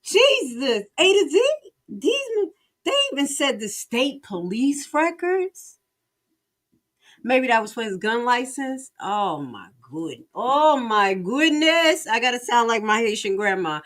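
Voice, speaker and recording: A woman's voice: on the high side, very animated, slow, fairly bright tone. An American woman reacts live as a stream host. She talks casually and colloquially, stretching words for emphasis and exclaiming often. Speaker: livestream host.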